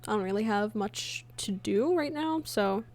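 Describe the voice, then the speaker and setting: A woman chatting casually on a livestream as she works, halting and half talking to herself in an absent-minded way. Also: medium pitch, lively intonation, darkish tone. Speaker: livestreamer and comic dub creator. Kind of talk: casual livestream chat while working. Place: indoors at a computer, streaming.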